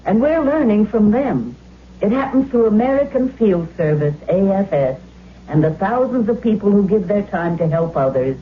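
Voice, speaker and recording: A woman's voice: mid-range voice, expressive, normal speed. A woman reading a scripted, informational public service announcement. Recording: very dull radio recording with faint hum.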